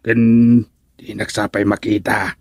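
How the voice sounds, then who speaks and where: Flustered villager for radio comedy: unhurried, stammering, hesitant, mid-pitched, recorded close and dry. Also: male voice, little pitch movement, gravelly, husky, dry recording, full character voice. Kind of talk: comedic radio serial acting.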